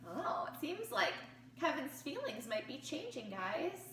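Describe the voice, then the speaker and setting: Animated, high-pitched woman reading to children. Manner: animated storytelling, pausing to pose questions to young listeners. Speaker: teacher reading a picture book aloud to pupils. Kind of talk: expressive children's read-aloud with questions to listeners. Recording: roomy, distant mic with faint noise.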